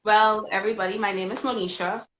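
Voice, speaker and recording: A woman's voice: mid-range voice, fairly even intonation, quick pace, fairly bright tone, reverberant, slight background noise, rough recording. A woman from the Bahamas, a guest chatting casually on a live stream and laughing often.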